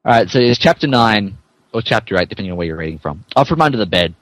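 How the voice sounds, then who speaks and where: A man's voice: fairly low pitch, animated, fast, fairly bright tone, no echo, poor audio. A man hosting a podcast and narrating a story, joking and mock-earnest, shifting between casual chat and dramatic reading.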